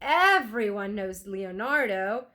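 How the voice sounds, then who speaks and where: A woman's voice: mid-range voice, animated, takes it slowly, pushed and bright. A woman reading a children's storybook, voicing a cheerful, eager flower-selling girl with gushing emphasis. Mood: bright, excited. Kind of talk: children's storybook read aloud.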